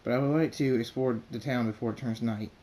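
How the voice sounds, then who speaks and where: A man voicing a calm, mysterious male pegasus demon who speaks politely and softly. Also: medium pitch, fairly quick, close to an everyday voice. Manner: calm, polite, soft-spoken.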